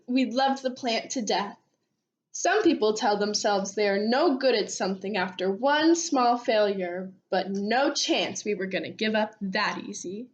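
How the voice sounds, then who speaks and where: Woman doing a kids book read-aloud, highish voice, animated, stretches out line endings, kinda dull lo-fi audio. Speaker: read-aloud storybook reader. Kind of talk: expressive children's storybook read-aloud. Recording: dull, lo-fi sound.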